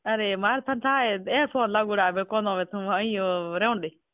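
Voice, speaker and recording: A man's voice: high voice, steady tone, normal speed, dry recording. A man with a Rajasthani accent in a casual phone conversation, asking questions and repeating words back. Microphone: telephone. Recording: tinny, narrow phone call recording.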